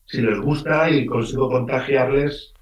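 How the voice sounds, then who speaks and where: Lively Valencian-man, off-mic and roomy. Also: medium pitch, a little slow, recorded from a distance. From Valencia region, Spain. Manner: lively storyteller, acts out voices in quotes. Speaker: writer being interviewed. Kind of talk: casual radio interview. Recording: roomy, off-mic radio sound.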